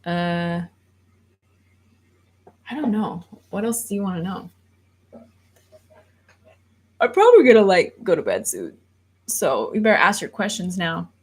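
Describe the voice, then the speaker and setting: A woman's voice: on the low side, sing-song, moderate pace, pauses often, darkish tone, slightly rough. A woman in her thirties, rambling casually on a livestream and reading viewer comments aloud before answering them. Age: 30s. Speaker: livestream host.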